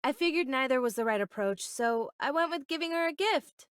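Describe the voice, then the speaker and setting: An actress playing an easygoing, self-deprecating young street urchin turned soldier in a video game, with casual, flippant bravado. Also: female voice, high-pitched, expressive, brisk, a bit bright, dry recording, clean studio sound. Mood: resigned, reflective.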